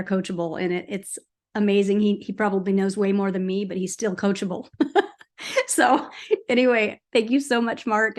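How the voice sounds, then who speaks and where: A woman hosts a team video call, bringing speakers on with upbeat, effusive praise. Her voice sits at a middle pitch, friendly and plain. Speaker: call host. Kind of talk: enthusiastic introductions.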